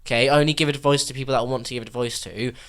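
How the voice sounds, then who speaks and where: Loud, sweary young man. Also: mid-range voice, fairly even intonation, very fast. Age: young adult. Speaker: podcast host and band member. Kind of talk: casual banter.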